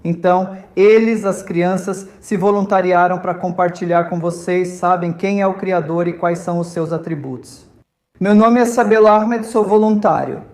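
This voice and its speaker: A man's voice: sits high, steady tone, moderate pace, darkish tone. A man from India speaking as a volunteer host, giving an informal, conversational talk to visitors and often checking in with his listeners.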